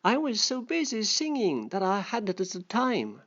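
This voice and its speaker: Storybook role: hungry, begging female grasshopper, read by a narrator, raised pitch, dull recording. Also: huge pitch swings, unhurried, fairly bright tone, heavily acted. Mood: explaining. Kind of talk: storybook dialogue read for children. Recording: dull, muffled lo-fi sound.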